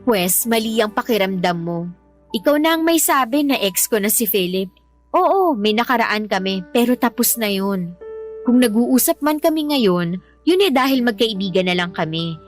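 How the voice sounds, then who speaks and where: Actress as a high-voiced, exasperated Filipino girlfriend. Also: lively intonation, moderate pace, soft background music, close to an everyday voice. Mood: defensive, firm. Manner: teasing, emphatic, conversational. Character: affectionate, exasperated young woman reassuring her jealous partner. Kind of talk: Filipino radio drama dialogue.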